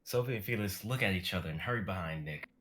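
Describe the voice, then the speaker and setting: A man with a low voice narrates a script. He reads out stage directions as part of a dramatic reading. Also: animated, fast, a bit bright, slight background noise.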